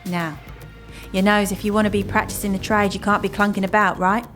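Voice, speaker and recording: A woman's voice: mid-range voice, little pitch movement, fairly quick, fairly bright tone, soft background music, natural voice. A woman playing a cocky, streetwise thief who talks in wry, teasing slang in a fantasy audio drama. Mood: brisk instruction.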